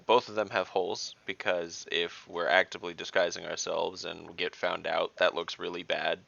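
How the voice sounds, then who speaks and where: Man, low pitch, gravelly fry, analytical gamer talk, muffled online recording. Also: sharp tone. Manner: analytical, weighs options at length. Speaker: tabletop game player. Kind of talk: casual online tabletop game discussion. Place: online voice call.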